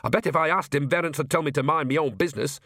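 A man's voice: on the high side, rapid-fire, a bit bright, no room sound, clean studio sound, voice pushed up. Man voicing a cheeky, bossy old village witch. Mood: brisk, knowing. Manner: folksy, blunt, colloquial. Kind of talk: audiobook character voice.

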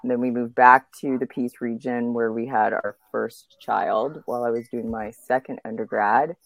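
A woman's voice: rumbling low, steady tone, moderate pace, gravelly, no room sound, low-quality recording. A woman in her thirties from Canada, born in Uganda, talking casually as a podcast interview guest.

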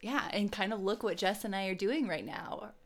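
A woman co-hosting a podcast in an earnest, conversational way, measured and pausing often. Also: medium pitch. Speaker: podcast co-host.

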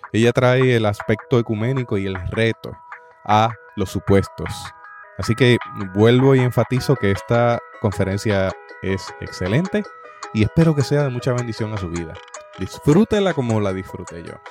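A man hosting a podcast, calmly and formally introducing a guest speaker. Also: fairly low pitch, moderate pace, over music. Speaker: podcast host. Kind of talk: spoken introduction. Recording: dry close recording.

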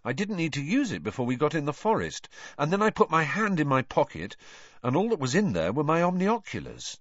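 A man's voice: fairly high pitch, big pitch swings, brisk, pitched above the performer's own voice. A man voicing an earnest, plain-spoken teenage boy in an audiobook story. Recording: dull lo-fi audio, roomy echo.

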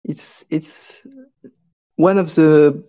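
A man with a French accent, a guest on a casual podcast, unhurried and halting, laughing often. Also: fairly high pitch, fairly even intonation, mellow.

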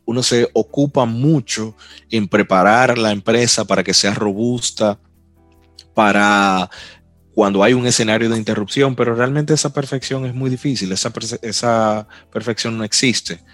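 A man's voice: on the low side, normal speed, fairly bright tone, no echo, faint music behind. A man speaking as a guest expert on a panel, explaining his ideas in a direct, plain way. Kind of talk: casual panel discussion.

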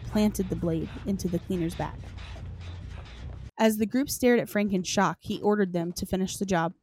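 A woman's voice: medium pitch, darkish tone. A woman hosting a podcast, moving between dramatic story reading and casual, playful chat. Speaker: podcast host. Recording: clearly noisy recording with clicks.

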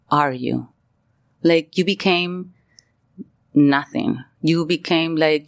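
Candid low-voiced Venezuelan woman. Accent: Venezuelan Spanish. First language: Spanish. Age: middle-aged. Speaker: guest, artist. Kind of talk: casual interview storytelling.

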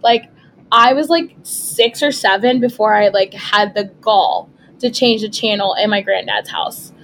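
A young woman hosting a podcast in casual chat, relaxed and easygoing with frequent "um" fillers. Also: mid-range voice, measured pace, a little hiss or hum, poor audio. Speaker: podcast host.

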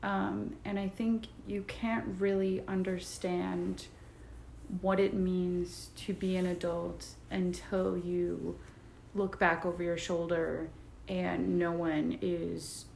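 A woman's voice: on the low side, level tone, slow, gravelly, a little mellow. A young woman co-hosting a casual podcast, talking in a thoughtful, reflective way. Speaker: podcast co-host. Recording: echoey room with faint noise.

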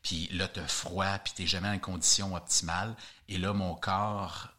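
A man with a Québécois French accent, chatting as an interview guest and telling a story, animated, often repeating words as he searches for them. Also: low-pitched, moderate pace. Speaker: guest hiker.

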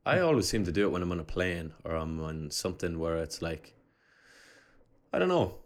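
A man co-hosting a casual podcast chat, wry and joking, with quick comebacks and free swearing. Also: low-pitched, sing-song. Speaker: podcast co-host.

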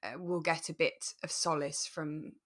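A woman co-hosting a podcast, chatting in a relaxed, casual way and telling things with animation. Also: deep voice, a little slow. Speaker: podcast co-host. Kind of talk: relaxed casual chat about a radio drama.

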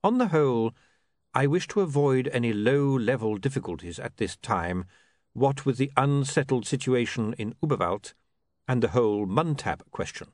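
An urbane older male ruler, acted by a male narrator for an audiobook. He speaks with dry, formal courtesy and quiet menace, his pitch moving freely, in a very close, dry recording. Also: lively intonation, moderate pace, no echo, natural voice. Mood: veiled menace. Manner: dry, polite, pompously formal. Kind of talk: audiobook character voice.

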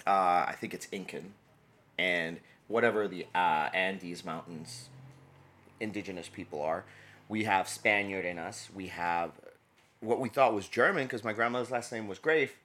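A man's voice: on the low side, unhurried. A young man from New co-hosting a food podcast, chatting casually, telling stories animatedly and laughing often. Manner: animated storyteller, laughs often, gets loud when excited. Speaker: podcast co-host. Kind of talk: casual chat.

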